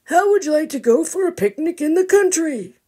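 A woman voicing a kindly, sympathetic old grandfather bear in a storybook, with drawn-out exclamations. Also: sits high, lively intonation, a little mellow, voice pushed up. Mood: inviting.

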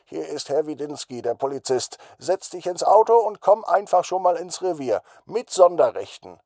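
A man acts out a friendly policeman in a children's story. His voice sits fairly high and mellow, recorded dry and close, and he gives instructions in a welcoming, casual way. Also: big pitch swings, normal speed, no echo. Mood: friendly, inviting. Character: friendly adult male police officer on the radio. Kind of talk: children's bedtime story character voice.

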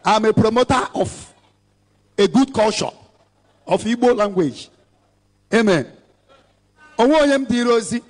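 High-voiced Nigerian man preaching on stage. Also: animated, unhurried, pauses often. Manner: switching between Igbo and English, emphatic and exhorting. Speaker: evangelist. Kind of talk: preaching at an outdoor church rally. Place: outdoor stage before a large crowd. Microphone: handheld microphone.

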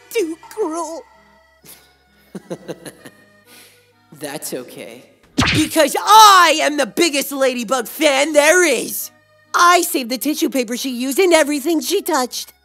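A woman acts out a teenage cartoon fanboy, awkward and excitable. The voice is high for a boy and very animated. Faint music runs behind it. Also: takes it slowly, long pauses, full character voice. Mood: manic. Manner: hesitant, then frantic. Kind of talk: comic dub cartoon acting.

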